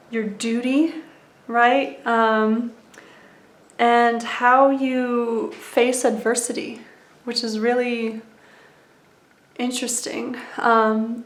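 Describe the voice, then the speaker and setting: A woman in her thirties, talking in a personal vlog, soft-spoken and unhurried with frequent ums and small laughs. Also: a little high. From United States. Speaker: vlogger. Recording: echoey room.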